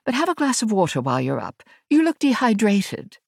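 Woman voicing a brisk Englishwoman, pitched up. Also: wildly swooping pitch, vocal fry, husky, dry recording, clean studio sound, full character voice. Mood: dry, precise. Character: brisk Englishwoman tech specialist speaking through a drone.

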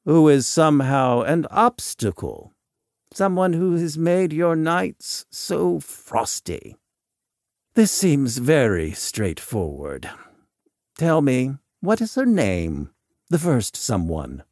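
A man's voice: a little high, very animated, slow, darkish tone, dry recording, studio quality, pitched above the performer's own voice, exaggerated voice. Man acting theatrical eccentric doctor, swooping voice. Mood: politely probing. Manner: wordy, theatrical, formal. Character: eccentric, coolly mocking male doctor lurking in shadows. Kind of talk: audiobook character dialogue.

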